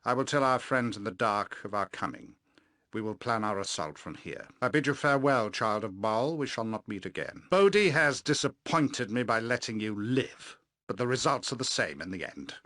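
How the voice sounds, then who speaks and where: A man voicing a cold, vengeful, soulless elven sorcerer villain for a video game, grandiose and menacing, with deliberate, theatrical, formal diction. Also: lowish voice, sing-song, a bit bright, no echo. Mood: cold, commanding. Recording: dry studio recording.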